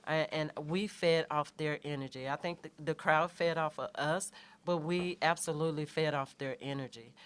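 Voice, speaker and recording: A middle-aged woman, a head coach answering questions in a halting way, with many fillers and restarts. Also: sits low, fairly even intonation, clean tone. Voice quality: soft voice. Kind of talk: postgame press conference answers.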